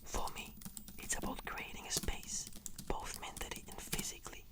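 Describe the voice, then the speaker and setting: A woman speaks in a breathy whisper, giving answers as a client in an ASMR therapy roleplay. Her delivery is measured and earnest, as if reading from a script.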